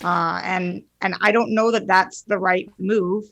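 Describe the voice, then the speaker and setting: Creaky-voiced woman in casual discussion. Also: mid-range voice, fairly even intonation, unhurried, fairly bright tone, no echo, lo-fi sound. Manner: informal, sweary. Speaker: podcast panelist.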